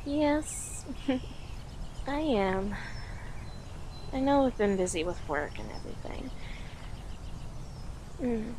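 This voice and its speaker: A woman's voice: fairly high pitch, darkish tone, slight background noise. A woman playing a playful, affectionate young girlfriend on a date in an intimate, conversational audio roleplay, with a teasing manner. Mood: tender, affectionate. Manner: teasing, giggly, conversational with pauses.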